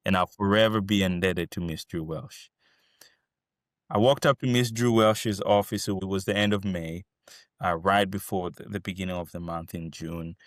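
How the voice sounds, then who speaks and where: Deep-voiced Ugandan man telling his story. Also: normal speed, no room sound, studio quality. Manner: earnest, warm storytelling with frequent fillers and restarts. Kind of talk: personal storytelling to camera.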